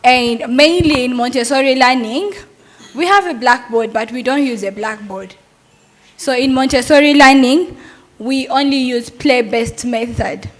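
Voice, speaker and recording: A woman's voice: high-pitched, unhurried. A young adult woman, a Montessori teacher, giving an earnest, persuasive talk at a summit and asking rhetorical questions.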